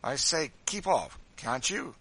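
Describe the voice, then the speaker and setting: A man's voice: medium pitch, normal speed, a bit bright, voice pushed up, close to an everyday voice. A man voicing a proud, easily affronted man in an audiobook, haughty and indignant. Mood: angry, disgusted. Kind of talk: audiobook character voice.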